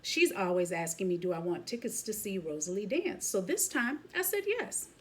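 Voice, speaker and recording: A woman's voice: medium pitch, big pitch swings, normal speed, slight background noise, close to an everyday voice. A woman voicing a wise, encouraging grandmother from Trinidad in a folksy, affectionate, assured manner for a storybook. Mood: warm, assured.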